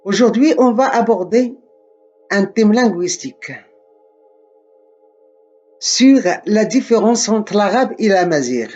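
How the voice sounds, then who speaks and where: A man from Morocco giving a slow, deliberate spoken lesson, often repeating and correcting himself. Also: high-pitched, expressive, a little mellow, lo-fi sound, soft background music.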